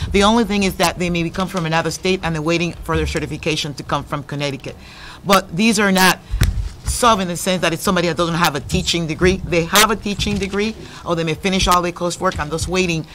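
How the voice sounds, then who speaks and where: A man's voice: sits high, fast, pushed and bright, slight background noise. A man explaining budget decisions at a school board meeting, repeating himself and breaking off phrases. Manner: explanatory, repeats points, some broken phrasing. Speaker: school superintendent. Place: public meeting room.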